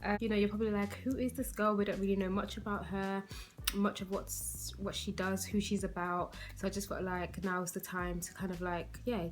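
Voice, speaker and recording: A woman's voice: mid-range voice, flat delivery, a little fast, faint music behind. A woman in her twenties from London, with a London accent, chatting casually to her viewers in a rambling way, full of "like" and "you know". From London, England. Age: 20s. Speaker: beauty vlogger.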